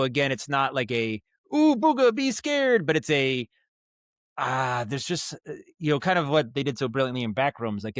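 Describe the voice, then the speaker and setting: A man speaking as a guest in a casual interview, telling stories in an animated, halting way with asides and vocal sound imitations. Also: medium pitch, dry recording, clean studio sound. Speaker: guest, audio drama writer and director. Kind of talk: casual podcast interview, storytelling.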